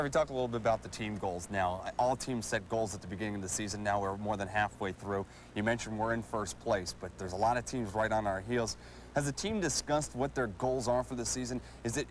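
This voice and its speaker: A man's voice: lowish voice, fast talker, a little hiss or hum. A man hosting a sports show, interviewing a player in a broadcast style.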